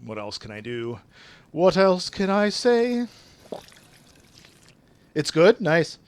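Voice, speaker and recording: A man's voice: high voice, very animated, takes it slowly, smooth, dry recording. A man with a regional French accent, a native French speaker, chatting casually with viewers on a game stream and reading their messages aloud. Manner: chatty, reads chat aloud, uses big words.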